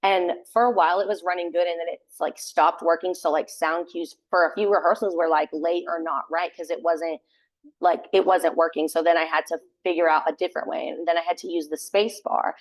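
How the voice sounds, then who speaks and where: Young woman, loud voice, slightly low pitch, casual and chatty, lots of "like", podcast guest. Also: fairly even intonation, brisk, poor audio. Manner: chatty, many fillers like "like". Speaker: guest, theater sound tech and assistant stage manager.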